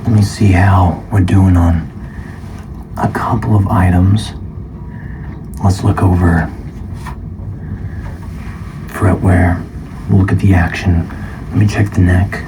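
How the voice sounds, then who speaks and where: Male, very low voice, calm ASMR shop appraiser, flat and unhurried, half-whispered at times, clear background noise. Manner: calm, measured, partly whispering. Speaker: guitar shop appraiser in a roleplay. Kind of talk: ASMR roleplay, soft-spoken.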